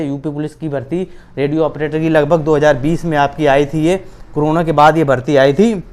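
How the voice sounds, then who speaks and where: Clear, higher-pitched man explaining. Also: a little mellow. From India. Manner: repeats phrases for emphasis, often checks in with listeners. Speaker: host of an exam-news channel. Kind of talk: informal explainer of job recruitment updates.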